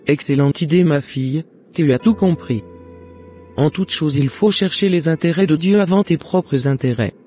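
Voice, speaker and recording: A man acting a wise, pious, motherly counsellor in a cartoon drama. The voice is dark and mellow, a little high for a man, and teaches in a calm, measured way over soft music. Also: lightly acted. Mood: encouraging. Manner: didactic, measured. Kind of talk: scripted animated drama, preachy counsel.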